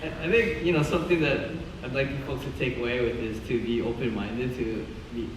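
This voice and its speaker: A man answering a Q&A calmly and thoughtfully after a screening. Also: medium pitch, fairly quick, very noisy. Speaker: panel guest artist. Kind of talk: reflective Q&A answer. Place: large hall at a film screening. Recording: echoey hall from far off.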